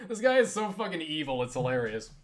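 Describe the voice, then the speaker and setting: A man chatting casually and haltingly about games, swearing freely in an animated way. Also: on the high side. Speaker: video creator answering viewer questions. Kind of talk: casual rambling game chat.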